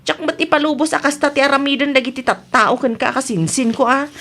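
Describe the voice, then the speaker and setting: A woman plays an indignant, protective cousin in an Ilocano radio comedy drama. Her voice is high and she has an Ilocano accent. She scolds emphatically on behalf of her family. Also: steady tone, fast, fairly bright tone.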